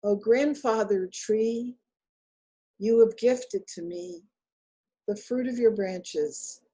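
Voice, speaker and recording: A woman voicing a kind, humble older brother, a poor farmer in a folktale, gentle and earnest. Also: medium pitch, gravelly, slightly rough, full character voice.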